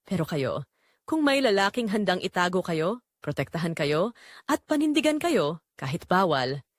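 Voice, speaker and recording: A woman's voice: mid-range voice, no echo. A woman reading a first-person dramatic story in an emotional, confessional manner. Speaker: audio drama narrator.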